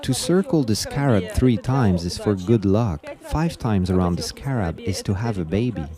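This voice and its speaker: Lively low-voiced man narrating a documentary. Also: moderate pace. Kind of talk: scripted travel documentary narration. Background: music.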